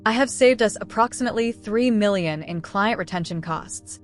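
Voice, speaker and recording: A woman voicing a composed, determined businesswoman in a story, speaking in a formal, measured, assertive manner. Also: medium pitch, faint music behind, close to an everyday voice. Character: composed, determined young businesswoman confronting her bosses.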